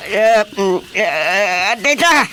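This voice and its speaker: A man's voice: high voice, expressive, takes it slowly, noisy background. A man with an Ilocano accent playing a nervous, bumbling army sergeant in a comic radio drama, hesitant and exclaiming. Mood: scared, pleading. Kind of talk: radio drama comedy acting.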